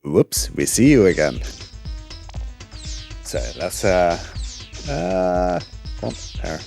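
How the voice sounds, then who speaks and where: A man giving relaxed live commentary on his speedrun, explaining the route with frequent fillers. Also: sits low, slow, creaky, faint music behind.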